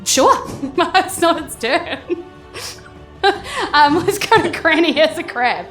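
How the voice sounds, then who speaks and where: A woman's voice: high voice, expressive, slow, fairly bright tone, soft background music. A woman with a New Zealand accent, playful and giggly, narrating as game master in a live tabletop game. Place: live on stage at a festival club.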